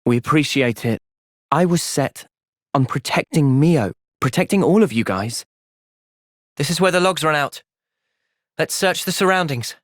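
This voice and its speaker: A man playing an earnest, gentle, resolute young soldier in video game cutscenes. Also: a little high, lively intonation, unhurried, pauses often, no echo, studio quality. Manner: earnest, thoughtful. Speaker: voice actor.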